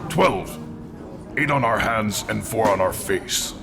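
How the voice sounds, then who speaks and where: An actor voicing a deadpan, literal-minded male alien warrior in an audio drama, speaking formally. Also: lowish voice, slow pace, creaky, full character voice. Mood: dry scorn. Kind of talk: scripted audio drama dialogue. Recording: slightly distant voice under loud music.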